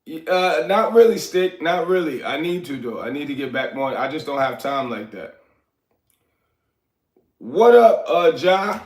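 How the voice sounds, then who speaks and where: 40s guy livestreaming from his apartment, kinda high voice, rambly, still a bit sick, echoey. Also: very animated, a little slow. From Liberia. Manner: loose, rambling, answers chat by name. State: recovering from illness, coughing. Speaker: livestream host. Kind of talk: casual livestream chat. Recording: echoey room.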